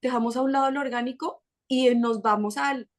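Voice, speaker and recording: A Colombian-accented woman speaking as a guest on a parenting podcast. Her voice sits on the high side, and she talks in an animated, chatty way, restarting phrases as she goes. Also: brisk. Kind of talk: casual conversational interview.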